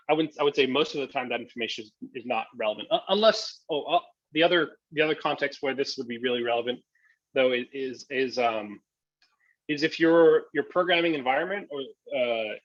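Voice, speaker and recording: Low-voiced man thinking aloud, slightly dull audio. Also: fairly bright tone, dry recording. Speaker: presenter and software developer. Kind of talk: casual tech talk answering audience questions.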